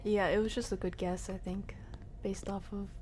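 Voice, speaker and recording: A woman's voice: medium pitch, little pitch movement, normal speed, a little mellow, slight background noise. A woman streamer giving casual live gameplay commentary, chatting to herself and her viewers in slangy asides. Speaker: video game streamer.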